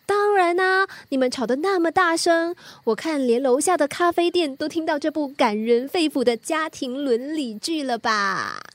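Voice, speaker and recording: High young female voice, Singaporean accent, cheeky caring daughter, radio drama, close dry sound. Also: expressive, normal speed, hardly any pauses, no echo. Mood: teasing, playful. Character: teasing, caring teenage daughter talking with her mother. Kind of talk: Mandarin radio drama acting.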